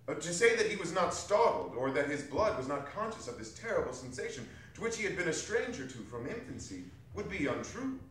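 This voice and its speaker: Expressive man narrating in a very echoey hall. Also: on the high side, brisk, hardly any pauses. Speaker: stage narrator. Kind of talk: theatrical dramatic reading of a classic story. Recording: very echoey hall, distant mic, faint noise.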